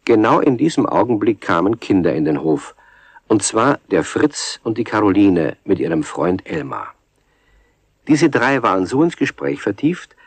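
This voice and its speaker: A man narrating a scripted children's radio play in a husky voice, as a storyteller. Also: on the low side, no room sound.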